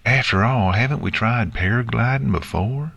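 A man's voice: medium pitch, moderate pace, creaky, fairly bright tone, breathy edge, highs cut off, voice pushed up. A man voicing an enthusiastic young filly pony girl in a storybook reading. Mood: curious.

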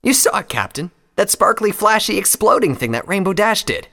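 A man voicing a brash, cocky male pegasus courier in a story, excitable and quick to complain. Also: high voice, in a large hall, voice pushed up, exaggerated voice.

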